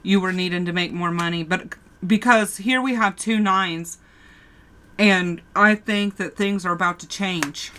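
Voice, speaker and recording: A woman's voice: on the low side, a little slow, sharp tone, slight background noise. A woman with a sore throat giving a casual tarot reading, talking straight to her viewers and often restarting her sentences. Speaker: tarot reader.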